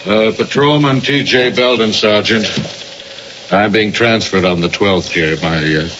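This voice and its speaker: A man's voice: lowish voice, measured pace, natural voice. An actor playing a plain-spoken, polite police patrolman in 1980 radio drama style. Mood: matter-of-fact. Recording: dull, noisy old radio recording.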